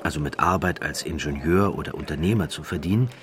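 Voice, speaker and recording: A man narrating a scripted documentary story in a measured, dramatic way with deliberate pauses. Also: rumbling low, a crowd in the background.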